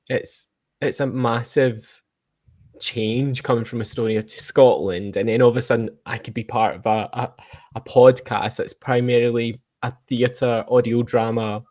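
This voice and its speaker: Mid-pitched man hosting a chat. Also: a little mellow. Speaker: host, interviewer. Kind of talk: casual interview chat.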